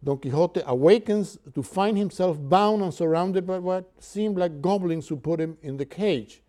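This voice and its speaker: Halting Cuban-accented male lecturer. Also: sits high, dark tone. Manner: halting, with many filler sounds. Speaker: literature lecturer. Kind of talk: university lecture.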